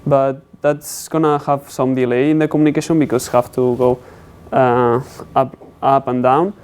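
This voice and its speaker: Young man giving a halting technical presentation. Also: medium pitch, fairly even intonation, a little mellow, smooth. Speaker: student presenter.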